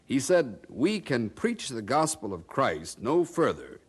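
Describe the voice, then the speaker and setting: An actor playing a genial, skeptical colonial printer in an audio drama, speaking in a wry, amused, conversational way. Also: male voice, medium pitch, big pitch swings, a little slow, slightly rough. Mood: wry, affable.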